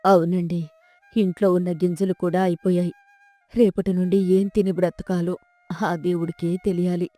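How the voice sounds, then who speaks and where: A woman's voice: fairly low pitch, little pitch movement, moderate pace, mellow, dry recording, soft background music, lightly acted. Woman acting a deferential, poor village wife. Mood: worried. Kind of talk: dramatised folk tale storytelling.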